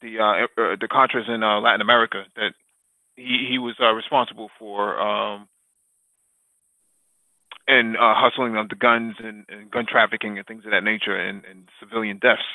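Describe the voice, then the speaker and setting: A man's voice: fairly low pitch, fairly even intonation, normal speed, pushed and bright, no room sound. A man co-hosting a casual political talk, rambling through many fillers and breaking into crude jokes and swearing. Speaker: podcast co-host. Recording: dry tinny phone-line sound.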